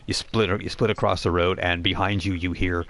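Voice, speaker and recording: A man working as game master, improvising descriptive narration for a tabletop role-playing game in a halting way. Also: deep voice, little pitch movement, fairly bright tone.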